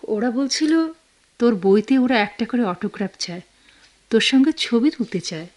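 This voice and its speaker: A caring elder sister-in-law in a Bangladeshi radio play. Her voice is fairly high, and she talks in a coaxing, familiar way with a Bangladeshi accent. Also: lively intonation, normal speed, darkish tone. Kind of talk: Bengali radio drama acting.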